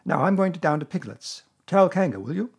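A man voicing a slow-witted but eager, amiable male toy bear in a children's storybook. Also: a little high, lively intonation, vocal fry, breathy edge, pitched above the performer's own voice, heavily acted. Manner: simple, amiable, earnest.

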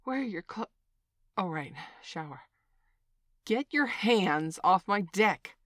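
A woman playing a slow-talking, flustered parent. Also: medium pitch, lively intonation, fairly bright tone, no room sound, clean studio sound. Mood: shocked. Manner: swings between scolding and soothing. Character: flustered protective parent, middle-aged. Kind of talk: scripted audio roleplay.

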